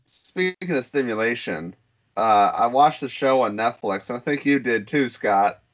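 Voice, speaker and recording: A man co-hosts a podcast in a relaxed, wry way, leaning on fillers as he talks. His voice sits at a middle pitch. The recording is dull and muffled, the sound of a cheap lo-fi mic. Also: measured pace. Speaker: podcast co-host. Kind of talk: casual banter.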